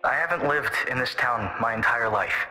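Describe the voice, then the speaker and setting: Guy doing a touchy terse young dude spinning a ghost story, bright voice, faint music behind. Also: mid-range voice. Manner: curt and gruff, then slow storytelling. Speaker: call-in guest storyteller. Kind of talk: fan audio drama acting. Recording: reverberant with music underneath.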